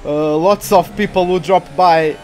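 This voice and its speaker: High-voiced man with a Portuguese accent. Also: fairly even intonation, a little slow, darkish tone, soft background music. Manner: chatty, rambling, easily distracted. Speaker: gaming streamer. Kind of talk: live commentary while playing a racing game.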